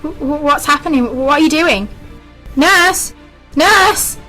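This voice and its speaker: Woman playing high-voiced indignant hospital patient. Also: takes it slowly, bright, forceful tone, faint music behind, full character voice. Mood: alarmed. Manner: complaining, disapproving. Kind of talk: radio drama acting.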